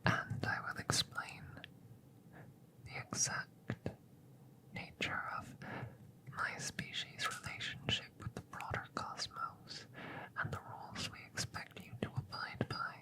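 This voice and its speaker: A woman playing a calm, curious alien scientist in a soft ASMR roleplay, whispering and murmuring close to the mic in a measured, formal way. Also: close to an everyday voice, rough recording. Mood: hushed, reassuring. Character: calm, clinical, curious female alien scientist examining a visitor. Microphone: close microphone.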